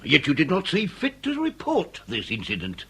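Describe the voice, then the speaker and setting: Victorian detective, British accent, shrewd, authoritative, higher-pitched, animated, deliberate period radio drama delivery. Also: male voice, slightly rough, heavily acted. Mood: formal, probing. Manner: formal, probing, deliberate.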